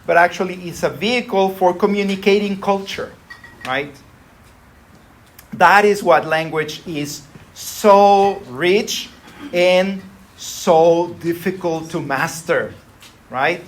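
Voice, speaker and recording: Lecturing man who keeps asking "right?". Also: a little high. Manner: conversational, frequent tag "right?". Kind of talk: university lecture.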